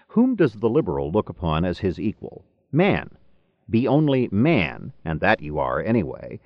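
Male narrator for scholarly book: deliberate, rhetorical, widely varied intonation, dry close sound, muffled lo-fi tape. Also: lowish voice, dry recording. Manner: deliberate, rhetorical, formal delivery with emphatic phrasing. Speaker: audiobook narrator. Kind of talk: scripted narration of a philosophy book. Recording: dull lo-fi cassette tape recording.

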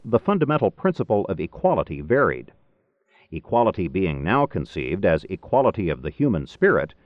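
Male narrator for scholarly book: deliberate, rhetorical, widely varied intonation, dry close sound, muffled lo-fi tape. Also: on the low side, dry recording. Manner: deliberate, rhetorical, formal delivery with emphatic phrasing. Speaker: audiobook narrator. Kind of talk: scripted narration of a philosophy book. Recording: dull lo-fi cassette tape recording.

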